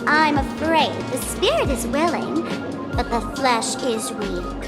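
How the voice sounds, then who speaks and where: Mischievous girlish trickster, high, very animated, unhurried, theatrical, teasing, game character. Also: loud music behind the voice. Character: mischievous theatrical young female trickster prankster. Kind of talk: animated anime-style game voice acting.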